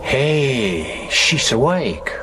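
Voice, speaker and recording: A man's voice: medium pitch, big pitch swings, extremely slow. An actor playing a burly, practical, cautious male crewman in a 1980s radio thriller, with clipped, matter-of-fact phrasing. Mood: calm, approving. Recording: loud effects noise behind.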